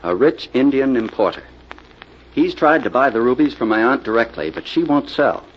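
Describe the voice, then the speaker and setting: Through a muffled old radio recording comes a brazen young playboy in debt. The voice is husky and mid-pitched for a man, with a brash, defensive, scheming edge in classic radio-drama style. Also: lively intonation, normal speed. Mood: sly, scheming. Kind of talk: 1940s radio drama acting.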